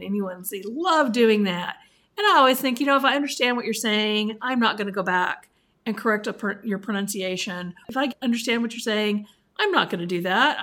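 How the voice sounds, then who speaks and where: A woman co-hosting a library podcast, telling stories in casual chat. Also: medium pitch. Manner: storytelling, animated when recounting.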